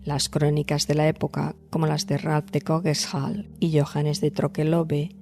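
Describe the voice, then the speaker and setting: A woman hosting and narrating a scripted storytelling podcast, addressing listeners directly in measured phrasing. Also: sits low, little pitch movement, darkish tone. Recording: music behind the voice. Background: background music.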